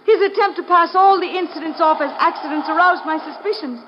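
Earnest high-voiced radio heroine. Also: female voice, fairly quick. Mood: anxious, confiding. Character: earnest worried wife helping the hero. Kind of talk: 1950s radio adventure acting. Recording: muffled, slightly noisy old radio recording.

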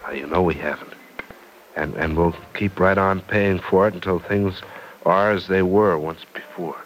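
A hesitant young suitor, played by a man, tripping over his words as he pleads. His voice sits fairly low, with a faint hiss from the old broadcast behind it. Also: steady tone, normal speed, lightly acted, rough recording. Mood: earnest. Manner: hesitant, stammers repeats. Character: impatient young man in love. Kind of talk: 1940s radio acting.

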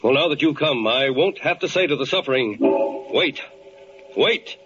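An actor playing a flustered, weary, compassionate hospital chief in old-time radio drama, with a halting, emotional delivery. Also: male voice, a little high, expressive, music in the background, natural voice. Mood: earnest, troubled. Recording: dull, hissy old radio recording.

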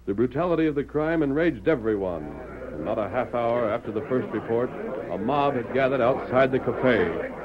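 A man's voice: mid-range voice, expressive, normal speed, very noisy. A man reading dramatic scripted narration for an old-time radio western. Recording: muffled vintage radio recording.

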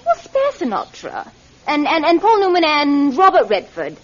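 Scheming, lively-voiced sister, dull recording. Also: female voice, high-pitched, normal speed. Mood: coolly scheming. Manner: domineering, conniving, insistent. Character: scheming, bossy, cold-blooded sister. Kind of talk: radio drama acting. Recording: dull vintage radio recording, faint music.

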